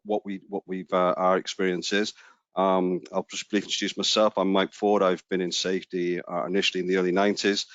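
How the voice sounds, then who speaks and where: A man's voice: low voice, a bit bright, dry recording. A man, hosting a webinar interview, talking hesitantly with many restarts over a video call. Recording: dry lo-fi video call audio.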